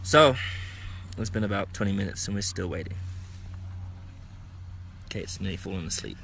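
A man's voice: low-pitched, creaky, a little hiss or hum. A man chatting casually into his phone at arm's length while waiting on a snowy mountain. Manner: relaxed, unhurried, quietly chatty. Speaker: vlog host. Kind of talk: casual vlog commentary.

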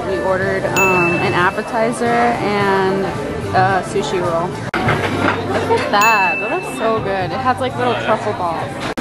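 A woman's voice: medium pitch, echoey room. A young woman in her twenties chatting casually and bubbly in a home vlog, picked up on a camera mic across the room. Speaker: vlogger. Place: bedroom and living room at home.

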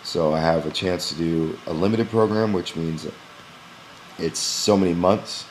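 A young man who runs a gym, walking through a software screen recording in a casual, explanatory way with frequent "um" pauses, recorded on a webcam at his desk. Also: low-pitched, slight background noise, low-quality recording. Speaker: gym owner demonstrating software. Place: small indoor room at a computer. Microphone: webcam in front of the face.

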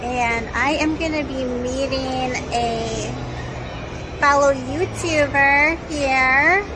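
A woman's voice: high-pitched, takes it slowly, noisy background. A middle-aged woman filming a chatty shopping vlog on her phone in a large indoor mall, talking to her viewers. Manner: chatty and excited, addresses viewers. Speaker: vlogger. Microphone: phone at arm's length, selfie. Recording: background music over phone audio. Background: background music.

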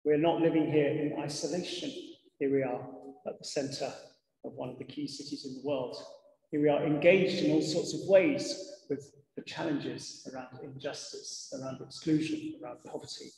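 A middle-aged man, a vicar giving a sermon in a measured, reflective manner with deliberate pauses. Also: a little high, darkish tone. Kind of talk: sermon to a congregation. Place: large church interior, indoors. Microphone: lectern gooseneck microphone. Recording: echoey church hall with long reverb.

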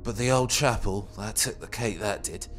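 An actor playing a rough working-class Victorian carter with a London Cockney accent, talking in a colourful, emphatic way. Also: male voice, lowish voice, moderate pace, fairly bright tone, lightly acted. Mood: spooked, gossipy. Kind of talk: character acting in audio drama. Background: music.

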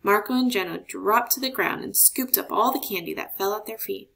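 A woman reads a children's story aloud. Her delivery is expressive, in an average-pitched voice with a clearly creaky edge. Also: a little fast. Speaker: storybook reader.

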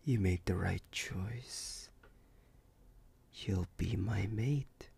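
A man voicing a possessive, smug werewolf alpha in an ASMR roleplay, husky and breathy, often whispering, with teasing deliberate pauses. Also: low voice, darkish tone, a little hiss or hum, full character voice. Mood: possessive, coaxing.

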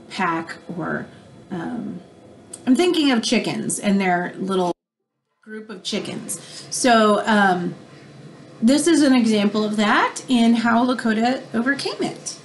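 A woman in her thirties reads a picture book aloud to children in an expressive, teacherly way, pausing to explain things. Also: mid-range voice, poor audio. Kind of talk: read-aloud storytelling with explanatory asides for children. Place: small room indoors at home. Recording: home recording with background noise.

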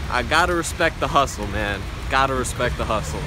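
A young man talks cheerfully straight to his viewers, filming himself on a phone at arm's length. His voice sits at an ordinary pitch. Loud traffic and street noise fill the background. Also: lively intonation, fairly quick. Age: young adult. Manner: upbeat, chatty, addresses viewers directly. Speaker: vlogger. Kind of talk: casual travel vlog narration. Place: streets and shops of a city, often outdoors in rain. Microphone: phone camera at arm's length.

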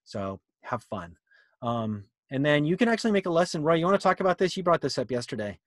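A middle-aged man presenting an informal webinar on a video call, with a playful, animated storytelling manner. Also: a little high, quick pace, dry recording, clean studio sound. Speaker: presenter, youth theatre director. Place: small room indoors, video call.

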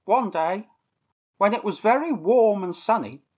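A squawky male toucan, as a woman puts him on, chattering high above her usual voice. He is excitable, repeats himself and swoops up and down with every phrase in this storybook reading. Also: measured pace, slightly rough. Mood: storytelling.

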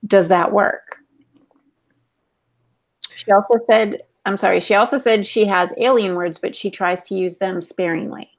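A middle-aged woman with a medium-pitched voice acts as co-host. She casually passes audience questions along to the panel over a video call. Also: steady tone, a little slow, pauses often. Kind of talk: casual moderating. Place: home room, indoors. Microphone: earbud headset on video call.

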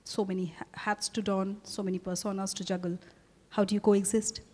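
A woman's voice: medium pitch, flat delivery, mellow. Formal Indian woman interviewer, echoey hall. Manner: formal, articulate, measured. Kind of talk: formal on-stage interview introduction. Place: hotel ballroom stage. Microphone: handheld microphone. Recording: echoey ballroom with long reverb.

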